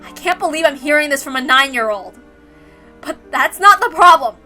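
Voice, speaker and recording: Visual novel sceptical girl, female performer: high, bright, argumentative, insistent, fed up. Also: lively intonation, normal speed, soft background music, exaggerated voice. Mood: indignant. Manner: insistent, questioning. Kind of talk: dramatic reading of a visual novel.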